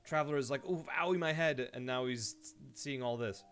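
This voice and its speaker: A man's voice: mid-range voice, fairly quick, a bit bright, faint music behind. A man giving casual, joking live commentary as a game streamer, irreverent and sweary.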